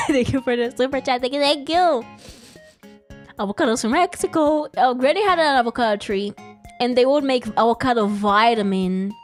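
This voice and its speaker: A woman's voice: high voice, moderate pace. A young woman, chatting casually and playfully on a live stream, giggling often and rambling. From Brazil. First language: Portuguese. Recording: faint music behind.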